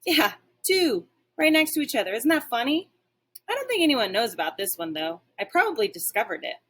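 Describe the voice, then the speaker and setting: A woman's voice: a little high, a little fast, husky, voice pushed up, exaggerated voice. A woman voicing a small, eager young male dog in a storybook, chatty, friendly and a bit boastful. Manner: excitable, chatty.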